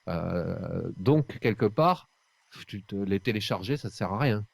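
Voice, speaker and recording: A man's voice: lowish voice, expressive, measured pace, vocal fry, no room sound. A man chatting casually on a podcast, talking in an emphatic, colloquial way. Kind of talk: casual chat.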